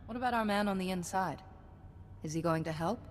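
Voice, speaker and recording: Game cast: woman as a dutiful Jedi, low-ish voice, firm and earnest, reverberant space. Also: fairly quick, a little hiss or hum, lightly acted. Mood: resolute. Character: principled female Jedi, resolute and dutiful. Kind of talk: game voice acting.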